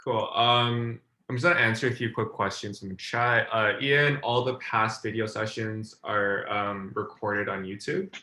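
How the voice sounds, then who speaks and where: Man, young adult, design mentor, casual teaching, filler-heavy, lowish pitch, roomy echo. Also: fairly even intonation, a little slow, hardly any pauses, bright, forceful tone. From China. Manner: loose and talky, filler words, "like" often, checks "does that make sense". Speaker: design mentor hosting a group session.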